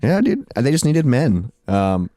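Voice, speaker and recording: Young male co-host, low mellow voice, relaxed, dry close mic. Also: a little slow, no echo. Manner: laid-back, laughs often, swears casually. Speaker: podcast co-host. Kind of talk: casual chat.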